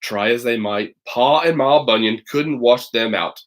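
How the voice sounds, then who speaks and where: A man reading a children's story aloud as a teacher would, animated and chatty, slipping into casual asides. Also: medium pitch, a little slow.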